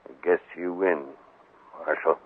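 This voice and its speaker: Curt, arrogant western big shot on tinny radio. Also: male voice, sits low, steady tone, a little slow. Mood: smug, cold. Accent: American. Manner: curt, imperious. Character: arrogant, rich, scheming male town big shot. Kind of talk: 1950s radio western acting. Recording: muffled, echoing 1950s radio broadcast.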